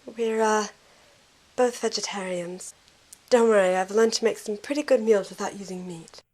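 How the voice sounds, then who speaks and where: A woman's voice: mid-range voice, moderate pace, darkish tone, close to an everyday voice, rough recording. A woman playing a kind, friendly young woman in an audio drama, with a casual manner.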